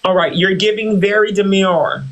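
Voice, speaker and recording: A man's voice: high voice, moderate pace, fairly bright tone, low-quality recording. A man playing a haughty self-styled boss and CEO on a live stream, talking down to his guest and calling her "dear" and "honey". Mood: smug. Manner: condescending, calls others "dear" and "honey". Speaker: livestream host in character. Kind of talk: improvised live-stream roleplay.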